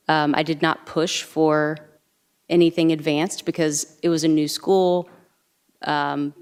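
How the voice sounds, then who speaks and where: A woman's voice: low voice, darkish tone. Woman telling a parent's story. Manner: personal, storytelling, hesitant fillers. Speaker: board member and parent. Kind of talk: board meeting discussion. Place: board meeting room.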